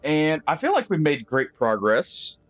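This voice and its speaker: Higher-voiced man streaming a game. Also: wildly swooping pitch. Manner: thinks aloud with pauses and fillers; friendly sign-off to viewers. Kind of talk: live gameplay commentary.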